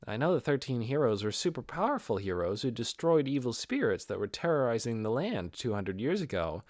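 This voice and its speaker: A man's voice: medium pitch, dry recording, studio quality, natural voice. Man as wavering, polite warrior, very varied intonation. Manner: hesitant, self-questioning. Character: unsure, polite male warrior bluffing through ignorance.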